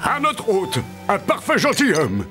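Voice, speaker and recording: An actor playing a pompous, fickle society flatterer in an animated fairy tale, with an affected manner. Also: male voice, sits high, a little slow, over music, full character voice. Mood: smug, flattering. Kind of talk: French-language animated fairy-tale dub.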